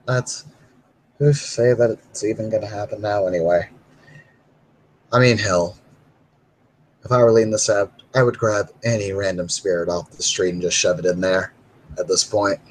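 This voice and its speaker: A man's voice: fairly low pitch, steady tone, normal speed, pauses often, slight background noise, lightly acted, poor audio. A man playing a resigned, self-deprecating urban werewolf in a tabletop roleplay, wry and noncommittal. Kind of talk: in-character tabletop roleplay dialogue.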